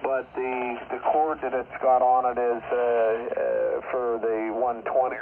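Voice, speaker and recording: A man's voice: mid-range voice, level tone, measured pace, gravelly. A man chatting casually about technical matters as a ham operator over two-way radio. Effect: two-way shortwave radio. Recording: tinny narrow radio, faint static.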